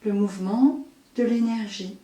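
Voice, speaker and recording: A woman leading a guided yoga nidra meditation as an instructor, in measured short phrases with long pauses. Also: mid-range voice, steady tone, dark tone. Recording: roomy echo, distant microphone.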